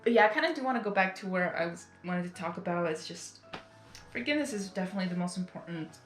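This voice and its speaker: A woman's voice: fairly low pitch, expressive, quick pace. A woman hosting a casual reaction video, rambling and exclamatory, often repeating her phrases. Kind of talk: casual emotional reaction commentary. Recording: roomy sound with faint music. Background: faint music.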